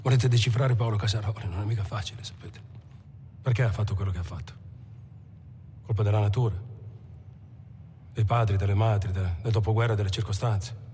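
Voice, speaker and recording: A man's voice: on the low side, steady tone, a little fast, pauses often, background noise, natural voice. An actor playing a provocative young robber from Bologna, challenging his listeners with rhetorical questions in a film monologue. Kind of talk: dramatic monologue in a docudrama film.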